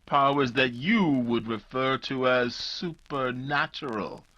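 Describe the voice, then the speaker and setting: Slow, menacing old villain. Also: male voice, medium pitch, bright, forceful tone, highs cut off. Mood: grandiose menace. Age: 70s character. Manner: slow, ominous, grandiose. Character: vengeful gaunt old criminal doctor, menacing, theatrical. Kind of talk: 1940s radio mystery acting.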